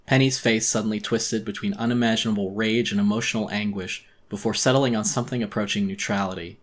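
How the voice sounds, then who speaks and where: A man narrating a horror story as a dramatic audiobook reading, intense, theatrical and deliberate. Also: on the low side, fairly even intonation.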